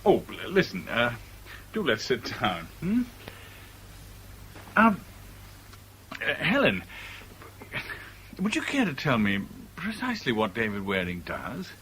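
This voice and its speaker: A man's voice: medium pitch, huge pitch swings, slow, long pauses, a bit bright, a little hiss or hum, full character voice, low-quality recording. An actor playing an anxious, suspicious traveller searching for a missing woman in a 1970s radio drama. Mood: polite, curious.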